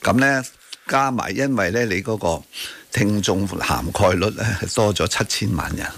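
Man, Hong Kong Cantonese accent, low husky creaky voice, emphatic rhetorical radio host. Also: normal speed, a bit bright. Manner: rhetorical, emphatic, peppering speech with tag questions and English words. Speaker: radio talk show host. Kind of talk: opinionated current affairs talk. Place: radio studio.

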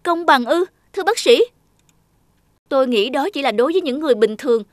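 A woman's voice: high-pitched, animated, fairly quick, dry recording, studio quality, pitched above the performer's own voice. A woman voicing a vain, pushy famous female singer in a cartoon, sounding demanding and indignant.

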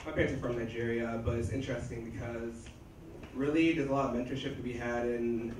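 A man, a software engineer, asking a curious, casual question from the floor in a hotel meeting room. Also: medium pitch, fairly even intonation, fairly quick. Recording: very echoey room, noisy, distant mic.

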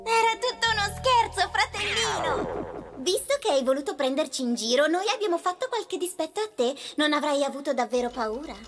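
A tiny cartoon girl creature, with an extremely high voice and a bossy, playful, theatrical way of talking. Children's music plays behind it. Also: sing-song, brisk. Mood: teasing.

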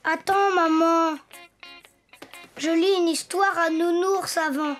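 Very high-pitched little boy donkey. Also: fairly even intonation, slow, fairly bright tone, soft background music, heavily acted. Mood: chatty, proud. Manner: childish, emphatic, whiny when refused. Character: little boy donkey, lively, possessive, imaginative. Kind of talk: French preschool cartoon dialogue.

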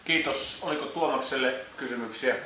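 A man's voice: mid-range voice, pushed and bright. A man moderating a postgame press conference, asking questions in Finnish and English. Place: echoey press room. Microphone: distant room mic. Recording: echoey room audio from far off with noise.

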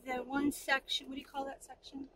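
A woman's voice: high voice, completely flat delivery, fairly quick, far from the mic, very noisy. A woman in her forties, chatty and upbeat, talking about her boat project into a phone at arm's length. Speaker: sailing vlog host. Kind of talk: casual chat. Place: outdoors at a boatyard, then inside a car. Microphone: phone camera held at arm's length.

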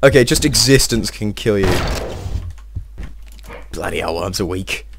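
Excitable man narrating his gameplay. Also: lowish voice, measured pace, gravelly, noisy background. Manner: excitable, swears often, talks to himself while playing. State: slightly strained voice. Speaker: gameplay video host. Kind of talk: casual let's-play commentary.

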